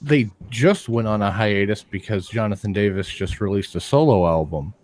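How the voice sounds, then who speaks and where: Low matter-of-fact man. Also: normal speed, a little mellow. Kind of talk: casual chat.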